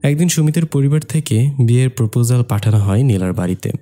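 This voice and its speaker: A man playing a loyal young village friend who tells a cartoon story in a sentimental, measured way. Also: medium pitch, animated, warm tone, natural voice. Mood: nostalgic. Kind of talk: dramatized story narration in an amateur cartoon. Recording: music behind the voice.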